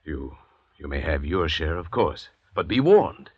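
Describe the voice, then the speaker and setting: An actor playing an arrogant, calculating convict doctor who leads his fellows with measured, condescending eloquence in an old-time radio drama. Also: extremely low pitch, sing-song, slightly rough, dry recording, heavily acted. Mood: stern control. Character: cold, arrogant, commanding convict doctor, calculating leader of escapees. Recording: dull, noisy vintage radio recording.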